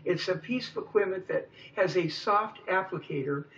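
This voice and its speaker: Male interview guest, 60, American, enthusiastic and chatty, high-pitched, recorded from a distance. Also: moderate pace, fairly bright tone. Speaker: interview guest, mobile chiropractor. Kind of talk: casual radio interview. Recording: distant, muffled radio capture.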